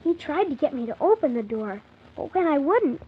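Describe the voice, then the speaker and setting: A performer playing Tim, a polite young boy telling his story with earnest, animated wonder on 1940s children's radio. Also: high voice, normal speed. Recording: muffled, hissy 1940s radio transcription.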